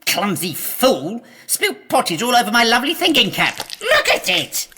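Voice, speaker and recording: A man's voice: very high-pitched, huge pitch swings, moderate pace, bright, forceful tone, a little hiss or hum, heavily acted. A man playing a pompous, cunning, fawning medieval lord in a comic audio drama, florid and whiny. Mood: petulant. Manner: florid, obsequious, whiny when blamed.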